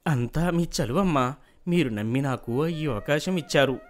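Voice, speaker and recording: A man's voice: a little high, normal speed, faint music behind, lightly acted. A man voicing a humble, good-hearted young farmhand in a folk tale, earnest and respectful. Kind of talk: acted folk tale dialogue.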